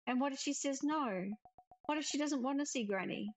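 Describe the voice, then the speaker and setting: A woman's voice: high voice, fairly quick, soft background music, voice pushed up, lightly acted. A woman voicing an anxious, earnest little girl from a visual novel, grieving her grandmother. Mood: worried. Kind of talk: visual novel character read aloud.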